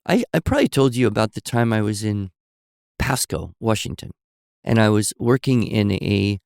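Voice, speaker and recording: A man co-hosting a podcast, telling stories in a relaxed conversational way and explaining with examples and asides. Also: fairly low pitch, normal speed, creaky, clear, resonant tone, no room sound, clean studio sound. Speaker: podcast co-host. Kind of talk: conversational storytelling.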